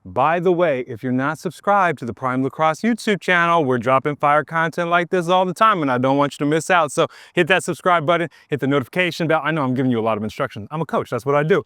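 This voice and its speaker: A man's voice: fairly high pitch, fast talker, hardly any pauses, smooth, no room sound, clean studio sound. Upbeat man coaching on a field. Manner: energetic, demonstrating moves while talking. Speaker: lacrosse coach. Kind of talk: instructional demonstration. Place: outdoors on a field.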